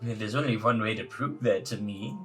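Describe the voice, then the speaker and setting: A man voicing a pushy, syrupy spider-woman shopkeeper from a visual novel, with drawn-out coy politeness. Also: fairly low pitch, a bit bright, far from the mic, soft background music, lightly acted, rough recording. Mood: offended, pointed. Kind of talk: hammy visual novel read-aloud.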